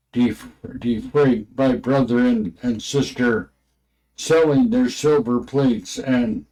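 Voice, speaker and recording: A man reading an old religious text aloud in a halting way, stumbling over words and names. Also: mid-range voice, gravelly, a little mellow, rough recording.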